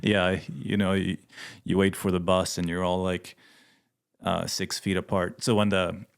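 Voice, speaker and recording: A man's voice: deep voice, measured pace, gravelly. A man in his thirties from Sweden with a Swedish accent, chatting casually as an interview guest and filling his talk with "like" and "you know.". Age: 30s. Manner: relaxed, many fillers like "like" and "you know". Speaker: guest, missionary pilot.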